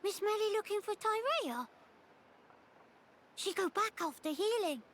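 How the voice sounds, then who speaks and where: A woman's voice: very high-pitched, animated, a little slow, fairly bright tone, heavily acted. A woman voicing a cute, childlike little creature in a video game, talking cheerfully in broken grammar. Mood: chirpy, matter-of-fact. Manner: broken grammar, third-person phrasing. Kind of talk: video game cutscene acting.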